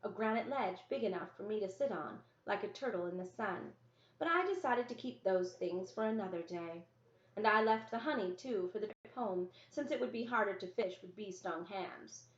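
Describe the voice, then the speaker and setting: A woman's voice: mid-range voice, normal speed. Woman reading a children's novel, echoey room. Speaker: story reader. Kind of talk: scripted first-person narration, children's novel read-aloud. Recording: roomy, echoey, dull lo-fi sound.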